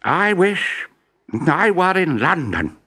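A man voicing an excitable, absent-minded old professor in a storybook, eager and exclaiming. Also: high-pitched, slow, husky, pitched above the performer's own voice, heavily acted. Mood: cheery. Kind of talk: storybook character voice. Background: background music.

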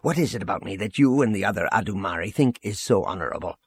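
A man's voice: fairly low pitch, animated, fast, slightly rough, no room sound. A man voicing a stern, earnest general in an audiobook, blunt and pressing in his indignation. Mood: stern, pleading.

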